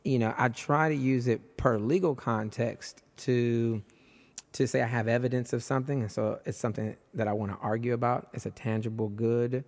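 A man hosting a casual podcast conversation, hoarse with his voice nearly gone, animated and jumping up in pitch when excited. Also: normal speed, in a large hall. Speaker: podcast host.

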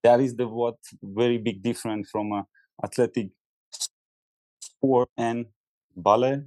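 A man in his forties, a former dancer, speaking as a podcast guest in earnest, halting English. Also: mid-range voice, fairly even intonation, dark tone, no echo, rough recording. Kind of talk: casual interview talk.

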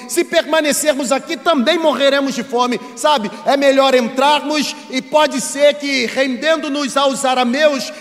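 A Brazilian man delivers a sermon in a very high-pitched voice. He preaches emphatically, building rhetorical weight through repeated phrases. His voice rings with the long reverb of a large hall. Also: a bit bright. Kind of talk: sermon to a congregation.

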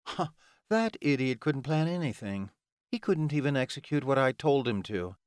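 A man's voice: fairly high pitch, big pitch swings, a little slow, no echo, clean studio sound, pitched above the performer's own voice. A man voicing a scornful, taunting female vampire in an audiobook, with a bitter, insulting manner. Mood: ranting.

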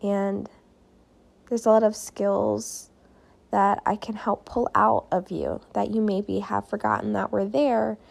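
A woman's voice: mid-range voice, gravelly, a little mellow. A young woman in her twenties hosting a casual solo podcast, chatty and upbeat, talking straight to her listeners while losing her voice. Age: 20s. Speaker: podcast host and life coach.

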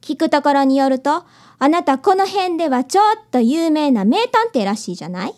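A woman's voice: sits high, sing-song, moderate pace, darkish tone. A woman playing a cheeky, precocious young schoolgirl in a radio drama, bossy and haughty. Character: cheeky, precocious little schoolgirl who challenges detectives.

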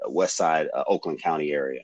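Low-voiced guy in his 40s from detroit, lots of uhs and restarts, dry close sound, chatting as a podcast guest. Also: brisk, slightly rough, no echo. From Detroit, USA. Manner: rambling, many ums and restarts. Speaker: guest, comic creator. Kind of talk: casual interview chat. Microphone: headphones, remote call.

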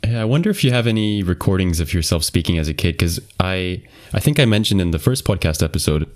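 A young man hosting a podcast, relaxed and laughing often as he asks follow-up questions. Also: deep voice, quick pace. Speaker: podcast host. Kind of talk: casual interview chat.

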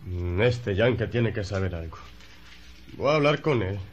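A man's voice: lowish voice, expressive, a little slow, slight background noise. An actor in a radio drama playing a suspicious village policeman.

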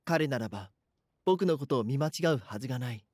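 A man's voice: medium pitch, expressive, brisk, a little mellow, husky, dry recording, studio quality. A man playing an anxious, bashful schoolboy who narrates his own story earnestly in a voice drama. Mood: tense, uneasy. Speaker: voice actor. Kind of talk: Japanese voice drama, first-person narration and dialogue.

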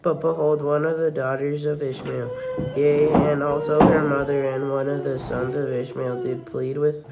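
A young reader reading scripture aloud haltingly, stumbling over words and correcting them. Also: mid-range voice, flat delivery, gravelly. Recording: noisy home recording.

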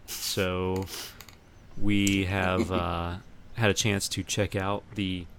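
A man hosting a podcast in casual chat, often pausing partway through his sentences. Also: low voice, level tone, creaky, fairly bright tone. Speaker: podcast host. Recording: noticeable background noise.

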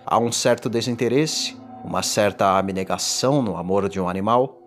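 A man playing a tormented condemned man in a gothic horror audio drama, confessing in a formal, theatrical and deliberate delivery. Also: fairly low pitch, close to an everyday voice. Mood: wistful, grave. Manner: theatrical, deliberate, ornate diction. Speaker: narrator. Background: music.

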